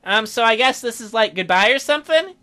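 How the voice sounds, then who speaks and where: A man's voice: extremely high pitch, expressive, a little fast, sharp tone, pitched above the performer's own voice, heavily acted. A man voicing an awkward, gloomy young man in a visual novel, hesitant and defensive. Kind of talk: acted visual novel dialogue.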